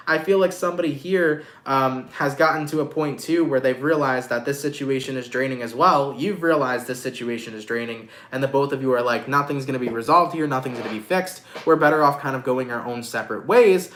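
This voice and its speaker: Man, nasal and congested, bright upbeat voice, echoey room, slangy tarot reader. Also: mid-range voice. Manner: lively, affectionate, slangy. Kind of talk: casual tarot reading.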